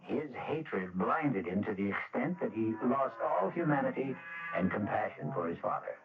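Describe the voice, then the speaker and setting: A man with a low, gravelly voice narrates an old radio mystery. He is solemn, deliberate and dramatic, with music behind him on a muffled recording. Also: big pitch swings. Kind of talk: vintage radio scripted narration.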